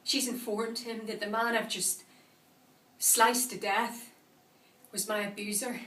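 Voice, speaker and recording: A woman playing a vengeful, traumatised woman in a confessional monologue, speaking deliberately with dramatic pauses. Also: fairly high pitch, little pitch movement, a bit bright. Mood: numb, shaken. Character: vengeful, traumatised woman recounting a violent night. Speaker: actor performing a monologue. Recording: echoey room, distant microphone.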